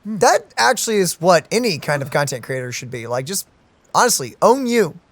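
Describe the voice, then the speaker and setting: A man's voice: sits high, sing-song, moderate pace, a bit bright, no room sound. A man hosting a reaction video, giving casual, playful commentary on a game.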